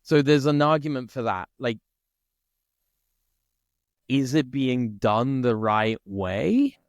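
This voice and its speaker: A man from Italy co-hosting a podcast, chatting casually and thinking out loud, often checking in with "right?". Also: medium pitch, expressive, slow pace, clean tone, no room sound, studio quality. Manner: thinks aloud, peppers speech with "like" and "right?". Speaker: podcast co-host. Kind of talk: casual conversation.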